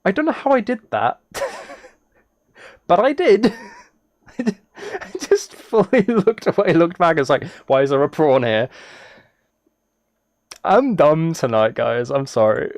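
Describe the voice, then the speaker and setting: A man's voice: a little high, sing-song, slow pace, long pauses, dry recording. A man livestreaming casually, reacting and chatting in an easily sidetracked, self-deprecating way. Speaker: live streamer. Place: indoors at a streaming setup.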